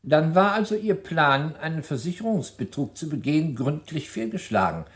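An actor playing a stern prosecutor questioning a suspect in a vintage radio play. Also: male voice, on the high side, sing-song, moderate pace. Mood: probing. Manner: probing, doubtful.

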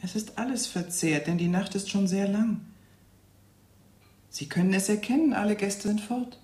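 An actress playing an impassive, unhelpful tavern waitress who speaks with deadpan formality in a German radio drama. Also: female voice, medium pitch, normal speed, reverberant, close to an everyday voice. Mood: impassive, polite. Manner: formal, flat refusals, deadpan.

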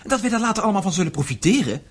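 Keen young son of the house, really breathy husky voice, swoops around, radio play. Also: male voice, sits high, sing-song, rapid-fire, exaggerated voice. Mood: excited, teasing. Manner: enthusiastic. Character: eager, dreamy young gentleman, dutiful son.

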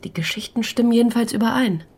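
Wry police investigator, husky voice. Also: female voice, fairly high pitch, fast, a little mellow. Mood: wry, gossipy. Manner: dry, wry, informal. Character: wry, matter-of-fact female police investigator. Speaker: police assistant. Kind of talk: German radio play acting.

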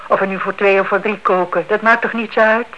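A woman's voice: mid-range voice, fairly even intonation, fairly quick, bright, forceful tone, lightly acted. A woman acting in a radio drama, playing a chatty, hospitable and inquisitive neighbour who fusses and insists. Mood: insistent. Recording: dull, faintly hissy old radio recording.

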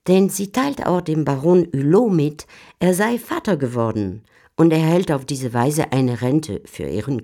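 A woman's voice: sits low, dark tone. A woman giving composed, articulate scripted narration for a literary radio drama.

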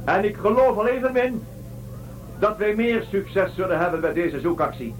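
Actor as high-voiced, solemn starship commander. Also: male voice, normal speed, soft background music, lightly acted, rough recording. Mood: solemn, resolute. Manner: formal, declamatory speechmaking. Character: solemn starship commander addressing his fellow travellers. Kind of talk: science-fiction radio drama monologue.

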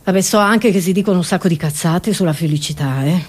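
A woman performing a theatrical, satirical comedy monologue, expressive and ironic, with rhetorical asides to the audience. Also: on the low side, quick pace, husky. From Italy.

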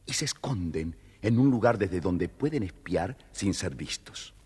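Low, very husky male storyteller. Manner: measured, expressive storyteller. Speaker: narrator. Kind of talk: scripted children's story narration. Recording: reverberant studio story recording. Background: music.

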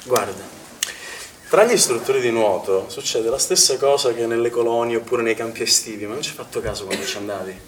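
Actor as a cocky young swim instructor, echoey stage. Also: male voice, medium pitch, fairly quick, crowd noise, close to an everyday voice. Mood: cocky, teasing. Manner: bantering, self-satisfied, insistent. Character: cocky, vain young male swimming instructor, teasing and defensive. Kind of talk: naturalistic stage acting in a theatre play. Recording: echoey, noisy theatre recording from far off.

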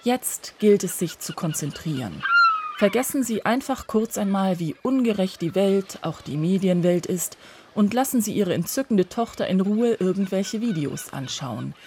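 A woman's voice: medium pitch, animated, moderate pace, background noise, close to an everyday voice. A woman playing a liveried seagull messenger in a radio play, delivering a note in a formal, mock-solemn manner.

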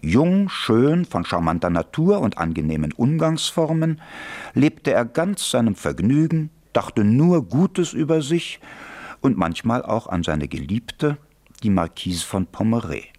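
Male narrator for radio drama; wry, theatrical, very lively intonation. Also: mid-range voice, a little slow. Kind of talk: scripted radio play narration.

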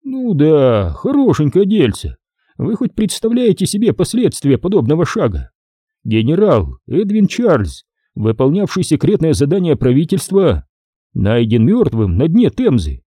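Casting: male narrator as haughty, depraved major in an audiobook thriller, raised pitch, swooping sardonic courtesy, dark tone. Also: wildly swooping pitch, a little slow, breathy edge, no echo, clean studio sound, exaggerated voice. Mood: scornful, condescending. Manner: mannered, mockingly courteous speech.

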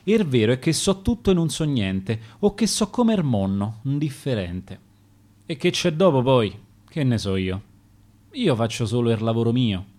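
A man's voice: mid-range voice, sing-song, normal speed, darkish tone. A man with a Roman accent voicing Death as a blunt, indifferent, foul-mouthed figure in a recited verse tale. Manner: crude, shrugging, matter-of-fact.

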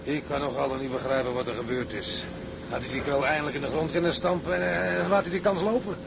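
A man's voice: steady tone, fast, creaky. An actor playing a devout, somewhat puzzled tugboat crewman in a Dutch radio play. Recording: rumbling vehicle noise behind voice.

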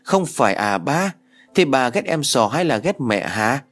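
A man's voice: medium pitch, very animated, measured pace, a bit bright. A man voicing a chatty, clingy little girl in a read-aloud story, pleading in a whiny child's way.

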